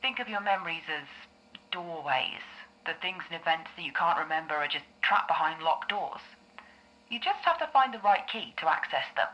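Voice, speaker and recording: A woman playing a calm, reassuring therapist in an audio drama, speaking in a measured, explanatory way. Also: deep voice, rough recording. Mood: calm, explaining. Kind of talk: scripted audio drama dialogue.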